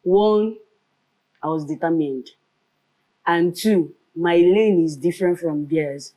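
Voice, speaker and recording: This woman speaks low with a mellow tone and a Nigerian Igbo accent. She is a lively storyteller, moving between English, Pidgin and Igbo as she recounts her path as a comedian and radio presenter. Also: slow pace, poor audio. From Nigeria, Nkanu land. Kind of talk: storytelling in a conversational interview.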